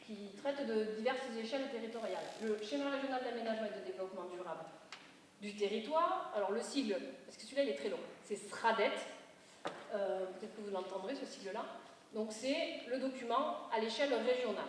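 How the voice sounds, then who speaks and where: A woman giving an explanatory public presentation as an urban planning consultant, going step by step in a didactic way and correcting herself as she goes. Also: on the high side. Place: large reverberant meeting room, audience present. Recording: very echoey hall, distant mic, faint noise.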